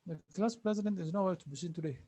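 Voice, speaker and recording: A high-pitched man teaches a class. He repeats each phrase slowly and keeps asking his students questions. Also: warm tone. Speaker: Arabic teacher.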